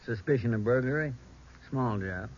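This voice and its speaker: An actor playing a helpful police officer with a brief, informal manner in an old radio crime drama. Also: male voice, medium pitch, normal speed, lightly acted. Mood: matter-of-fact. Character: male police officers, helpful and plain-spoken. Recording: dull old radio recording, light noise.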